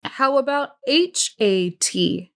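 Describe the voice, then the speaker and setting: A woman's voice: sits high, lively intonation, takes it slowly, voice pushed up, full character voice. A woman reader voicing a stern, sarcastic female camp warden with pointed, interrogating questions. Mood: probing.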